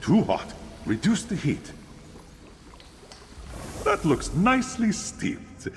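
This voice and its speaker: An actor playing a friendly, chatty innkeeper and eager drink inventor in a fantasy video game, theatrical and animated. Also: male voice, high voice, slow, slight background noise, full character voice. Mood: attentive coaching. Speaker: innkeeper giving instructions. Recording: long reverb, music behind.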